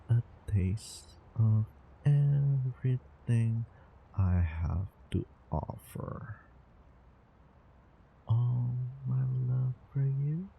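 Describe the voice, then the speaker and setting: A man's voice: on the low side, creaky, mellow, heavily acted, rough recording. A man playing an obsessively devoted, doting time-traveling lover in an intimate roleplay audio drama, coaxing the listener. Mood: seductive. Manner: slow, intimate, coaxing, over-the-top devotion. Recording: background ambience, light noise bed.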